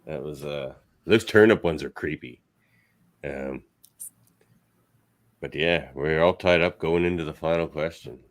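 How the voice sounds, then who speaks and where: Very deep-voiced man moderating a debate. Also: unhurried, long pauses. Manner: easygoing, trailing off into quiet asides. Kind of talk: casual podcast hosting.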